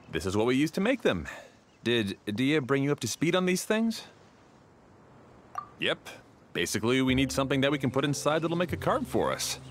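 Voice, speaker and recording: A man's voice: mid-range voice, a little hiss or hum. A man voicing a laid-back, slangy caretaker in a video game, with a casual, offhand way of talking.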